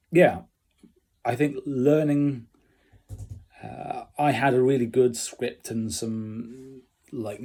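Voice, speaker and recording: A man talking casually and unscripted as in a personal video diary, halting and reflective with long pauses, in a husky voice. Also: medium pitch, dark tone. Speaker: amateur radio learner recording a progress diary.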